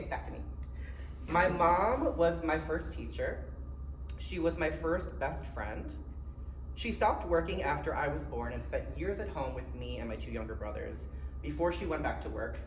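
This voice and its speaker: A man with a Philadelphia accent giving a personal keynote speech in a conversational way, with short dramatic phrases and wry asides. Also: fairly high pitch. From Philadelphia area, United States. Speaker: keynote speaker. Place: college event room. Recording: roomy, distant mic with faint noise.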